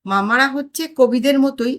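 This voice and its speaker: A woman reading a comic story aloud in an expressive narrating style. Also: high voice, normal speed. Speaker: story reader and channel host.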